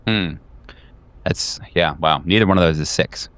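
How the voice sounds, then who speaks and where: Deep, smooth-voiced man running a game. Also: a bit bright. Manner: halting, casual, thinking aloud. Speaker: game master. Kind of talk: improvised tabletop game narration.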